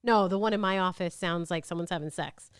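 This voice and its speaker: A woman's voice: fairly low pitch, fairly quick, clean tone, no room sound, clean studio sound. A woman chatting casually in a podcast group, teasing and playful, with some swearing. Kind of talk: casual chat. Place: small podcast studio.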